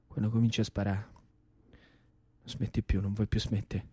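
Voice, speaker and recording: An actor with a central Italian, Roman accent playing a haunted, fervent ex-partisan in a radio theatre monologue, telling it colloquially and with insistent repetition. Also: male voice, lowish voice, flat delivery, normal speed, dark tone, breathy edge, dry recording. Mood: tense, hushed. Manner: dramatic storytelling with colloquial dialect and insistent repetition. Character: Italian ex-partisan man recounting war memories and dreams, haunted, fervent. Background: plucked guitar music.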